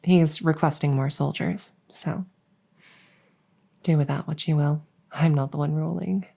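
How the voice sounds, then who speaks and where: Woman playing vampire maid, mellow, slow, polite, soothing, attentive, ASMR roleplay. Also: low voice, steady tone, gravelly, exaggerated voice. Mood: dutiful, gentle. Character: devoted, gentle vampire maid serving a weary monarch. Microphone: close stereo condenser mic.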